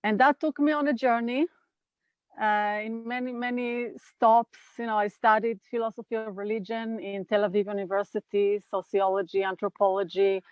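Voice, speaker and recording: A woman in her fifties with an Israeli accent talks slowly and reflectively, pausing to gather her thoughts. Her voice sits at an average pitch and comes through dry with no room sound. The video call audio is slightly muffled. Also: fairly even intonation, dry recording. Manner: thoughtful, unhurried, with pauses and fillers. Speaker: guest, NVC trainer. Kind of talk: reflective interview talk. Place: home in California.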